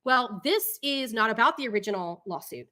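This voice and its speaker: A woman's voice: a little high, lively intonation, moderate pace, sharp tone. A woman hosting a casual live talk show, reading documents and commenting in an exasperated, opinionated way.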